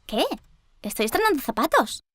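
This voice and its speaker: Timid young princess, high swooping voice, sweet, earnest, cartoon style, music behind. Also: female voice, big pitch swings, normal speed. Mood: nervous, earnest. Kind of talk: animated children's cartoon acting.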